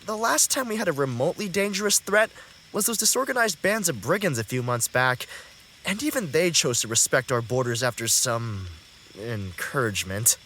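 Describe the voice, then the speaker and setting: A man's voice: medium pitch, expressive, normal speed, bright, forceful tone, a little hiss or hum. A man playing a polite, chatty, self-deprecating young archer in a fantasy audio drama. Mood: polite, curious. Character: mild, modest young male archer.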